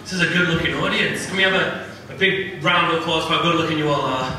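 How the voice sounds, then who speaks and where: A man's voice: a little high, steady tone, quick pace, over a noisy crowd. A young man with a Liverpool accent doing a chatty, self-deprecating stand-up set, tagging lines with "right?". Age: young adult. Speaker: stand-up comedian. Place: comedy venue with a live audience. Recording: echoey room, distant mic, audience laughter.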